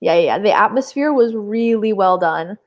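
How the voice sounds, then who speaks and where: A woman co-hosting a live show in a casual, animated book chat, emphatic and peppering her sentences with "like". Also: mid-range voice, normal speed.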